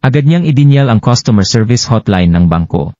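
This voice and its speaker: A man's voice: mid-range voice, animated, a little fast, dark tone. A Filipino man narrating a scripted, dramatized story as its storyteller. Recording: dull lo-fi, roomy echo.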